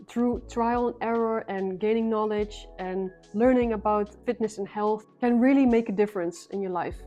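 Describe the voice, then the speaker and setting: A woman's voice: medium pitch, dark tone. A young Dutch woman with an accent, speaking English as a second language, telling a personal story in an earnest vlog with frequent restarts. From Netherlands. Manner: earnest, restarts phrases often. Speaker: vlogger.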